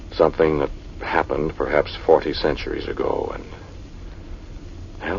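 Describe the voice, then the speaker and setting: An actor playing a level-headed archaeologist who narrates an eerie tale in measured, suspenseful 1940s radio drama style. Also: male voice, a bass voice. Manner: measured, brooding narration; brisk commands in dialogue. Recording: muffled, noisy 1940s radio recording.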